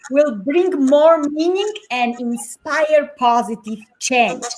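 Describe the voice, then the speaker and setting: A woman's voice: high voice, fairly bright tone, poor audio. A woman hosting a live interview show, welcoming her guest with gushing enthusiasm. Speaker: host.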